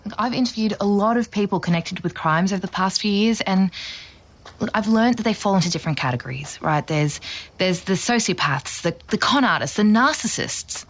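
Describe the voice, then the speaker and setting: An actress playing an earnest, pushy young podcaster, insistent and persuasive, in audio drama. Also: female voice, mid-range voice, a little fast, natural voice. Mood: pleading, earnest. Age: young adult. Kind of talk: naturalistic audio drama acting.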